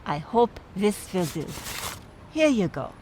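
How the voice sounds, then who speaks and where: An earnest, slow-spoken mourner. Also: female voice, medium pitch, very animated, noisy background. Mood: flustered, apologetic. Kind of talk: radio play dialogue.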